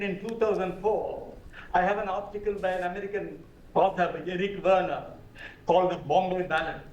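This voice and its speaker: An older man on a news panel, indignant and emphatic, firing rhetorical questions. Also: on the high side. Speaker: panel guest, former election official. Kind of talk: studio panel debate. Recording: dull, echoey remote audio.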